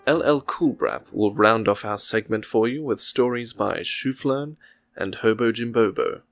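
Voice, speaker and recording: A man with a fairly low voice and plenty of fry in it. He presents a fiction podcast and reads stories from a script. Soft music plays faintly behind him. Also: unhurried. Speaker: podcast host and story reader.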